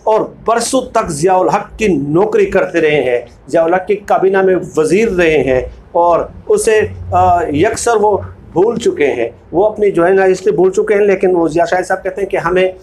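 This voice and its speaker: A man's voice: sits high, normal speed, hardly any pauses, a little mellow, slight background noise. A man with a Pakistani accent hosting informal political commentary, speaking emphatically and repeating phrases for weight. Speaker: political commentary video host. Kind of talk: informal political commentary, reading book excerpts.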